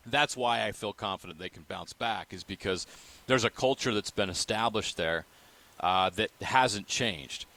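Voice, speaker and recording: A man's voice: lowish voice, a little slow, sharp tone. An American man co-hosting sports talk radio, giving opinions in emphatic, repetitive phrases.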